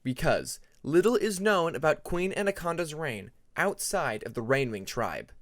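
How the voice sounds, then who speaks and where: A man performs a young male dragon student from a fantasy audiobook, earnest and nervous. His speech is careful and a little halting, with lively pitch movement. The sound is close and dry. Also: no room sound, natural voice. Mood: uneasy recall.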